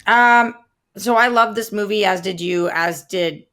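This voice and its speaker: A woman co-hosting a podcast, chatting informally about movies and pausing as she searches for the right word. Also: mid-range voice, a bit bright, clear, resonant tone. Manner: informal, halting, searches for words. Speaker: podcast co-host reviewing movie trailers. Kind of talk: casual movie chat.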